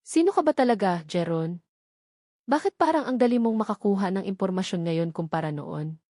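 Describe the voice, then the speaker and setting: A woman's voice: medium pitch, lively intonation, a little fast, dry recording, studio quality, close to an everyday voice. A woman narrator playing a wounded, sarcastic young single mother with a Filipino accent in an audio romance drama. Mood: cold, doubting. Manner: cold, sharp, accusing.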